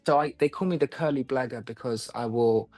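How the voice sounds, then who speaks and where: A man from London, an acting coach being interviewed, thoughtful and quick to laugh. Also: mid-range voice, darkish tone, no room sound, faint music behind. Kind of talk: casual interview.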